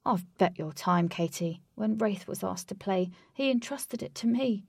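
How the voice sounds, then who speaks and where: A woman's voice: mid-range voice, normal speed, vocal fry, husky, dry recording, studio quality. A woman voicing a distressed young man who mumbles and sniffles as he owns up to a foolish bet in a storybook.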